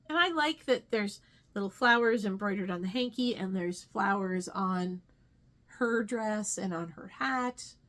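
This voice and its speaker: Slow-paced woman chatting casually. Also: medium pitch, a bit bright. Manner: rambling, thinking aloud, trailing tag questions. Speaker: craft vlogger. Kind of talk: casual chat, showing stitching projects.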